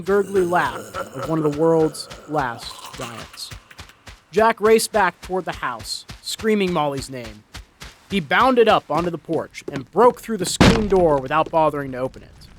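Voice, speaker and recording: A man's voice: a little high, fairly bright tone, slight background noise. A man reading a scripted story as a dramatic narrator. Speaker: narrator, reader. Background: story sound effects.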